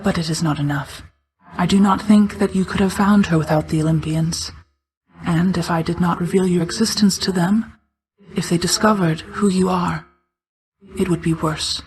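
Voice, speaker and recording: A woman voicing a solemn, regal night goddess and protective mother figure in a video game, speaking in a formal, measured, restrained way. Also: fairly low pitch, steady tone, darkish tone, natural voice, low-quality recording. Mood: stern, restrained. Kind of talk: scripted video game character dialogue.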